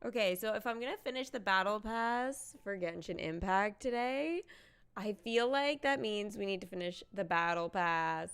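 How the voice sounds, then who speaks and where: A woman hosting a live game stream in casual, excitable chat, thinking aloud as she goes. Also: mid-range voice, moderate pace, clear, resonant tone. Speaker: livestream host, gamer.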